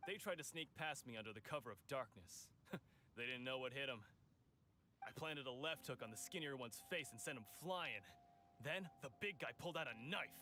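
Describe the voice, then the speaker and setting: A man's voice: medium pitch, lively intonation, normal speed, sharp tone, a little hiss or hum. An actor playing a boastful, cocky male brawler who brags and chuckles at his own stories, in video game voice acting.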